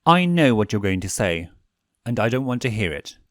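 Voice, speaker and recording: A man's voice: lowish voice, sing-song, normal speed, dry recording, clean studio sound. A man voicing a refined, affectionate owl demon prince with a courtly, theatrical manner in a story reading. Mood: firm, tender. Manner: courtly, theatrical, formal phrasing. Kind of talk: fan fiction story reading.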